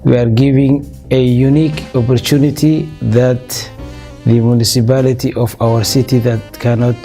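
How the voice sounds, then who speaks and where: Somali-born man, mellow low voice. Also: little pitch movement, faint music behind. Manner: measured, with frequent uh fillers and restarts. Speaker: interview guest, business owner. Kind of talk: interview answers.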